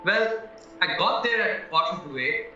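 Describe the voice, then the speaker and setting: A man's voice: a little high, lively intonation, unhurried, pushed and bright, exaggerated voice. A man acting in an audio play as a naive, anxious young husband accused of murder, earnest and rambling as he protests. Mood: earnest, chatty. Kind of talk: stage-style audio drama acting. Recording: very echoey, muffled, distant, music underneath.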